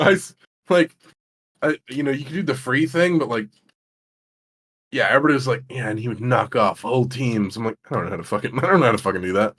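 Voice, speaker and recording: A young man with a deep voice, interviewing in a laid-back, casual online chat and laughing often. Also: quick pace, a bit bright. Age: young adult.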